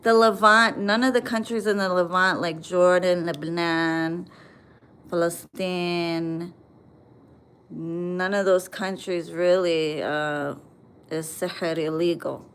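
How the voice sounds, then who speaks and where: American woman, livestream host, blunt and profane, lowish voice, unhurried. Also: fairly even intonation, fairly bright tone, smooth. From United States. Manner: blunt and profane, answers comments directly. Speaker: livestream host reading viewer comments. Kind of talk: casual livestream chat.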